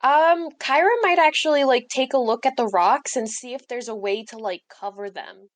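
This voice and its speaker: A woman's voice: on the high side, normal speed. A woman player in a tabletop roleplaying game, chatting casually in a joking, self-deprecating way.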